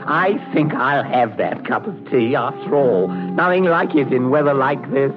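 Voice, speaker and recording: An actor playing a wry, taunting English captain with a British accent and a mock-polite manner, in 1940s radio drama. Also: male voice, medium pitch, lively intonation, music in the background, natural voice. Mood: mock-polite needling. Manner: sardonic, unhurried, mock-polite. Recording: dull, hissy 1940s radio recording.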